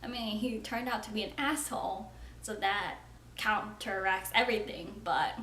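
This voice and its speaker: A woman's voice: mid-range voice, moderate pace, bright, forceful tone. A young woman in her twenties talking frankly and casually to camera as a vlogger, filling her sentences with "like.". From United States. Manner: chatty, frank, frequent "like" fillers. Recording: roomy echo with faint ambient noise.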